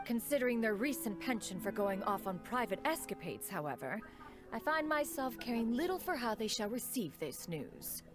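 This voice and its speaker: An actress playing a haughty ancient crane adept in human form, speaking in imperious, archaic formal phrases for a video game. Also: female voice, fairly high pitch, sing-song, normal speed. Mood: dismissive. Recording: game audio with music behind.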